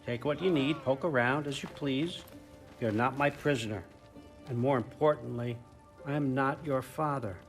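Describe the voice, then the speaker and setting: An actor playing a scheming old king of the gods who talks in a smooth, folksy, offhand way. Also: medium pitch, moderate pace, faint music behind, natural voice.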